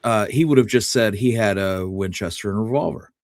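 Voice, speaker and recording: A man with a fairly low voice co-hosts a history conversation in a dry, close recording. He thinks aloud, laying out his theory piece by piece. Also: brisk, dry recording. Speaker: podcast co-host. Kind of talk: conversational historical discussion, speculating.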